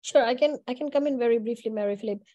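A woman's voice: fairly high pitch, very fast, warm tone, dry recording, dull audio. A young woman giving an informal panel answer, hesitant and restarting her phrases. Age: young adult. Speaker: panelist, youth leader.

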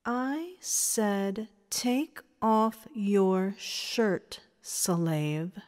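A woman's voice: mid-range voice, expressive, very slow, darkish tone. A woman playing a domineering, condescending woman who commands and taunts in an audio story. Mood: commanding. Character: domineering, condescending woman running a training program. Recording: reverberant hall-like echo.

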